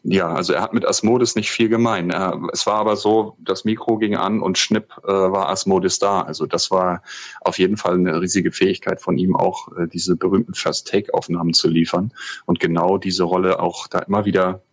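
Male podcast co-host, very low and somewhat raspy, casual but measured delivery, recorded remotely. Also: steady tone, highs cut off. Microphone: remote connection, not in studio.

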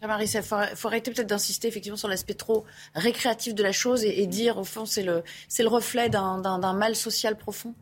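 A woman's voice: medium pitch, steady tone, quick pace, hardly any pauses, slight background noise. A woman hosting a televised news debate, cutting in with short prompts and rephrased questions. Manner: brief interjections and rephrasing questions. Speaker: news talk show host.

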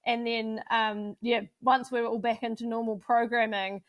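A woman's voice: on the high side, little pitch movement, normal speed, no echo, lo-fi sound. A woman, co-hosting a casual podcast and chatting with many fillers. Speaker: podcast co-host.

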